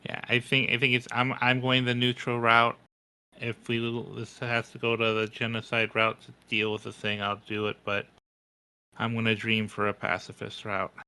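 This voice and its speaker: A man speaks as a player in a casual tabletop game. His voice is bright and very clear, at an average male pitch. He halts and doubles back on words while thinking aloud. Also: completely flat delivery, normal speed, poor audio. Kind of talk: casual roleplay and table chat.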